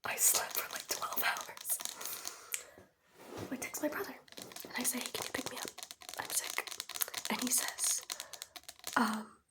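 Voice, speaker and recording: Teen female ASMR host, higher-pitched, mostly whispered, breathy, chatty and wry storytime delivery. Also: measured pace, pauses often, poor audio. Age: teenager. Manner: chatty, wry, youthful slang. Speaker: ASMR host showing products.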